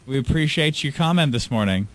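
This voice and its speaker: A man hosting a live call-in radio show, talking with a listener in a patient, polite, measured way. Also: medium pitch, animated, dry recording, low-quality recording. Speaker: radio DJ host. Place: radio studio.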